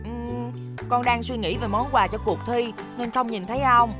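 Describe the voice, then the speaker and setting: A woman's voice: on the high side, fairly even intonation, normal speed, natural voice. A woman voicing an eager, boastful young boy in a cartoon, with an exaggerated childlike delivery. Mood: flustered. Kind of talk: Vietnamese cartoon dubbing. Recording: music behind the voice.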